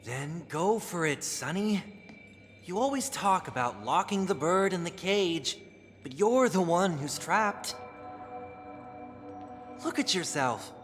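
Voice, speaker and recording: A man's voice: high-pitched, lively intonation, takes it slowly, pauses often, fairly bright tone, long reverb tail, faint music behind, full character voice. An actor playing the teasing, frivolous alter ego of a prim man in a video game, with a taunting, theatrical delivery. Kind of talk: scripted dramatic game dialogue.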